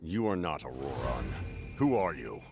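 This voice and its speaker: Stern war commander for a game: extremely low voice, lively intonation, honorable and grave. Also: male voice, normal speed, music in the background. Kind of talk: dramatic video game acting.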